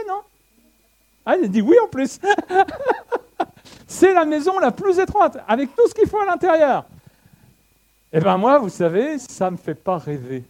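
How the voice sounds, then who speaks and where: A middle-aged man from France preaching a sermon with slides, conversational and lively, joking with the congregation and swinging between soft and loud passages. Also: high-pitched. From France (Le Havre).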